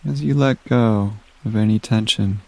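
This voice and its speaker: A man leading a guided meditation in a gentle, soothing manner, giving soft instructions with long pauses. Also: on the low side.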